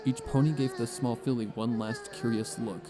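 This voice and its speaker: A man narrating a scripted fan fiction story as an expressive storyteller. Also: medium pitch, normal speed, music in the background.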